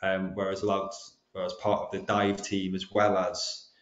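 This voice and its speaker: Low-voiced Welsh man, roomy call audio. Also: completely flat delivery, normal speed, dull audio. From Wales. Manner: hesitant, frequent ums and restarts. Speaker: guest speaker. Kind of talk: presentation talk with slides.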